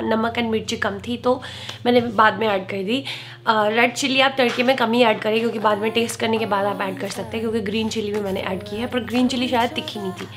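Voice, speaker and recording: Lady in her 30s doing a chatty cooking vlog, highish voice, music playing behind her. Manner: instructional, chatty. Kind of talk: casual recipe narration mixing Hindi and English. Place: home kitchen, indoors.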